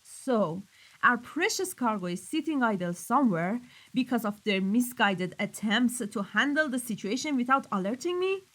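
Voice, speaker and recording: A woman's voice: medium pitch, a bit bright, poor audio. A woman playing a cold, commanding mafia boss in a scripted audio roleplay, speaking sternly and accusingly. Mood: icy, reprimanding. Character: cold, menacing female mafia boss dealing in weapons.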